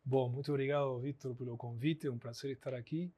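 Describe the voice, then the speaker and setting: A man with an Argentine Spanish accent speaking Portuguese as a guest in a podcast interview, explaining in a measured way with frequent fillers. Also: mid-range voice, steady tone, dark tone. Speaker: guest, anthropologist.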